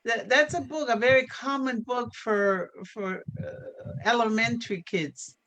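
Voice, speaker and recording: A woman co-hosting a casual discussion, eager and quick to agree. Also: fairly high pitch, fairly bright tone, low-quality recording. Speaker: co-host.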